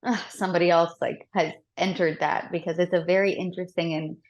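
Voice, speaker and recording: A woman's voice: fairly low pitch, steady tone, brisk, muffled recording. A woman singer from Florida, a podcast guest, chatting casually and animatedly, with frequent laughs and lots of "like.".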